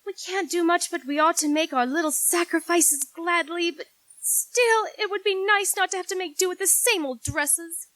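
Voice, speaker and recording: A woman's voice: very high voice, normal speed, a bit bright, no echo, low-quality recording. A woman playing a sensible, ladylike young governess and eldest sister in a 1940s-style radio drama. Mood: earnest.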